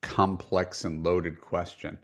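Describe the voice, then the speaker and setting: A deep-voiced man hosts a dating-coach podcast. He talks in a loose, chatty way, full of ums and false starts, and pokes fun at himself. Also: flat delivery, slow. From United States. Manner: chatty, self-deprecating, many fillers and restarts. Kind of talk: conversational podcast intro and coaching.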